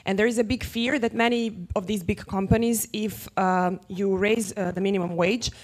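A woman's voice: mid-range voice, fairly even intonation, normal speed. Polite woman from Serbia asking a question. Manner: polite, careful, hesitant with fillers. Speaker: audience member asking a question. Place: large indoor hall.

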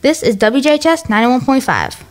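An American woman with a fairly high voice hosts a radio show. She delivers scripted links and segments in the style of a station presenter. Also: very animated, brisk. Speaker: radio host. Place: radio studio.

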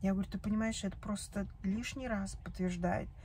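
A woman's voice: mid-range voice, steady tone, fairly quick, dark tone, slight background noise. A woman in her forties, upset and tearful, venting about a bad day in a vlog recorded in her parked car. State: tearful after crying. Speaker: vlogger. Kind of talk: emotional confessional vlog. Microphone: phone camera at arm's length.

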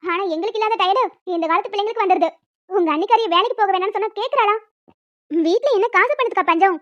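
A woman's voice: extremely high pitch, quick pace, lo-fi sound. A woman voicing a nagging, disapproving older mother-in-law who complains and scolds in a cartoon family drama. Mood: grumbling.

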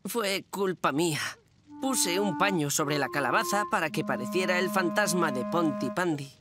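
A performer voicing a mischievous young boy in a dubbed children's cartoon, theatrical and drawn-out in delivery. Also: mid-range voice, animated, music in the background, natural voice. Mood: sheepish. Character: mischievous young boy telling spooky ghost stories.

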